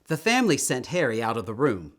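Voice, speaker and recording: A man reading a children's story aloud in an expressive, emphatic storytelling manner, drawing out words. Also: medium pitch, fast talker. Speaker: storytime reader.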